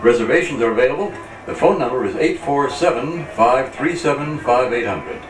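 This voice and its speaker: American male announcer for scripted sponsor reads, lively intonation, medium pitch, roomy off-mic sound. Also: moderate pace. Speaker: radio announcer. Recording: echoey distant pickup, music behind.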